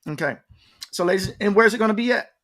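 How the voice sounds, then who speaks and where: A man's voice: sits high, quick pace, a bit bright. A man hosting a casual conversational interview, often repeating himself and speaking straight to the audience. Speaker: interview show host.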